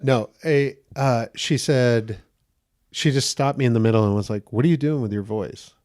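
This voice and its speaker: Male guest actor, soft higher-pitched voice, lively anecdotes, quick laughs. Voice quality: slightly high, gentle voice. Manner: animated storyteller, laughs often, acts out quotes. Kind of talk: casual podcast chat.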